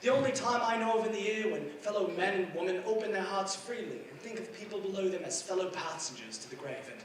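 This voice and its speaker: An actor playing a good-humored, warm-hearted nephew, earnest and pleading, in a 1940s radio drama style. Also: male voice, sits high, lively intonation, fast. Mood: earnest, heartfelt. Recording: very echoey stage, distant mic, faint noise.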